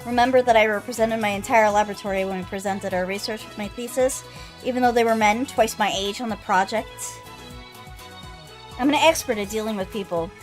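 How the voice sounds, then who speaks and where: A woman's voice: mid-range voice, brisk, soft background music, lightly acted. A woman voicing a proud, easily embarrassed young woman scientist from a visual novel, with a haughty, flustered manner.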